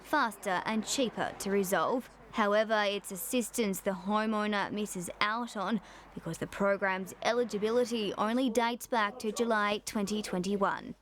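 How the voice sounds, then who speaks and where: A woman's voice: mid-range voice, steady tone, normal speed, a bit bright, a little hiss or hum. Woman reporter narrating a news package. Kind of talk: scripted news voice-over.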